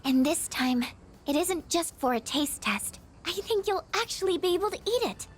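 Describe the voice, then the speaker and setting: A woman voicing a sweet, shy, earnest high school girl in a video game, gentle, sincere and a little hesitant. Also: animated, normal speed.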